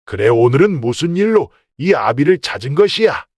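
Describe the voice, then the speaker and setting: Proud merchant father, fairly high male voice acted. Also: big pitch swings, moderate pace, a little mellow, husky, no echo. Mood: amused. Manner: old-fashioned, authoritative paternal speech. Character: shrewd, proud merchant father in an old Korean folktale. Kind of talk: acted folktale dialogue.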